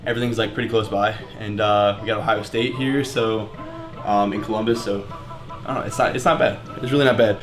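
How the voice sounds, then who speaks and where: A man's voice: fairly low pitch, steady tone, brisk, faint music behind. A nineteen-year-old man with an American accent, chatting casually and informally as he answers viewer questions in a fitness vlog.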